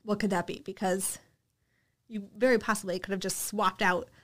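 Woman podcast co-host, casual chat, unhurried and reflective, easygoing. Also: mid-range voice. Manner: relaxed, reflective, hesitant with many fillers.

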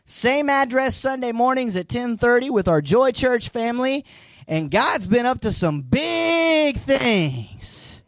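A hoarse man preaches to his congregation in a high, lively voice that swings widely in pitch. He mixes folksy asides with emphatic, near-shouted lines. The sound is dry and close. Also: takes it slowly, dry recording. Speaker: pastor. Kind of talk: live sermon.

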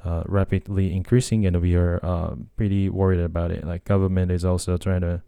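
A Japanese man talks alone in a relaxed, meandering way, stopping and restarting his sentences often. His voice sits very low and sounds soft and mellow. The recording is close and dry, with no room sound. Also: brisk, creaky, smooth, no echo. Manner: rambling, hesitant, many fillers and restarts. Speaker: podcast host and language tutor. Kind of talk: casual solo talk.

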